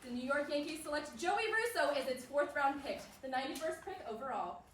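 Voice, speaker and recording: A woman playing a polished, brisk sports broadcaster announcing news in a staged play reading. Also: high-pitched, lively intonation. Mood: formal, announcing. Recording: roomy, distant, faint noise.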